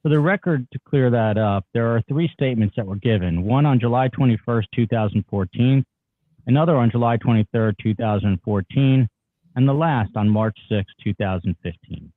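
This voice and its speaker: A man's voice: medium pitch, normal speed, dry recording, natural voice. Tinny phone-like audio, man acting as male attorney, dry, curt, firm, procedural, deposition reenactment. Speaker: reader playing the witness's attorney. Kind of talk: dramatic reading of a deposition transcript. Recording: tinny, narrow phone-like sound.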